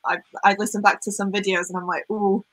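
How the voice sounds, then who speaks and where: A woman's voice: medium pitch, little pitch movement, fast, a bit bright, dry recording, rough recording. A young woman from Wales with a light Welsh accent, Welsh her first language, chatting casually on a live stream with ums and giggles. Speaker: host.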